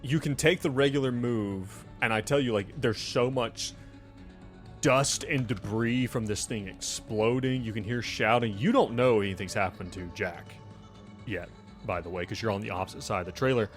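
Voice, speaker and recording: Male game master, lowish voice, informal and descriptive, speaking straight to players over background music. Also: normal speed. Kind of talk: narrating a tabletop role-playing game.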